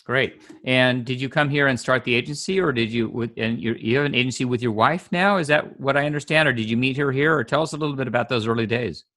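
Guy host, mid pitch, keeps rephrasing his questions. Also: fast, few pauses, fairly bright tone. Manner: rambling, reformulates questions. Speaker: interviewer, host. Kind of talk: interview questions.

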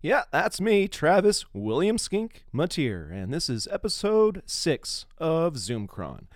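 Podcast host: American man, animated mid-range voice, casual but opinionated delivery, dry close recording. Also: fairly high pitch, takes it slowly, no room sound.

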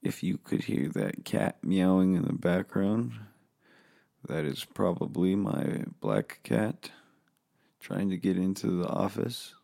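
Hoarse, gravelly, slow-talking man. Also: deep voice, animated, warm tone. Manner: rambling, self-deprecating, swears freely. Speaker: podcast host. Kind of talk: casual solo podcast monologue. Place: home office.

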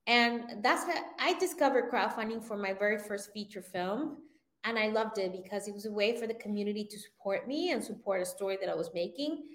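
A woman who grew up in Venezuela, a filmmaker guest in a casual interview, talking in an animated way and laughing often. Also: medium pitch. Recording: roomy echoey sound.

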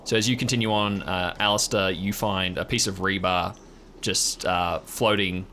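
A man's voice: deep voice, steady tone, normal speed, bright, forceful tone. An easygoing man narrating an improvised tabletop game as game master in an informal way. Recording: clear background noise and ambience.

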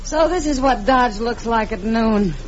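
A woman's voice: on the high side, a little slow, close to an everyday voice. An actress playing a worldly, good-hearted saloon woman with a dry, knowing manner in a radio western. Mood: wry. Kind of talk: 1950s radio western acting. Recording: dull, noisy vintage radio recording.

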